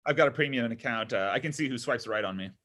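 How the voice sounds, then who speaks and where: A man's voice: mid-range voice, fast talker, pushed and bright. A man acting a needy, self-dramatizing man in his thirties at a comedy table read, exasperated and argumentative. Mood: sulky. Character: needy, whiny, self-dramatizing thirty-four-year-old son.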